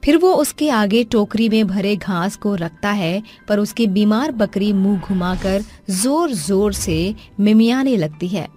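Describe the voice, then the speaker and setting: A woman narrating a cartoon story in a clear, even way. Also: medium pitch, unhurried, hardly any pauses, faint music behind.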